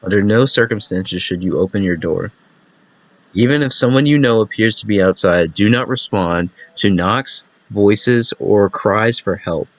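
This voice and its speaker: A man voicing a calm, official emergency broadcast announcer in a video game, giving a measured public warning. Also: on the low side, rough recording. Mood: calm, grave.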